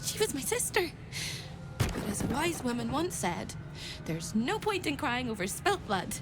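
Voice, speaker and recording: High-voiced wistful, fragile woman. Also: very animated, measured pace, over music. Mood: grieving, resolute. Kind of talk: video game drama acting.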